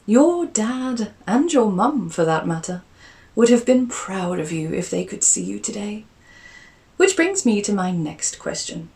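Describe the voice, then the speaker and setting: A woman's voice: medium pitch, big pitch swings, measured pace, creaky, darkish tone, recorded from a distance, voice pushed up. Woman doing a prim, fond aristocratic aunt.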